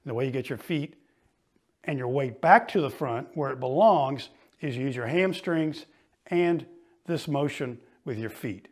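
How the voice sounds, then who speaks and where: A middle-aged man coaching ski fitness, explaining each exercise calmly while he demonstrates it in a studio. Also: mid-range voice, normal speed. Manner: patient, explanatory, talks while moving.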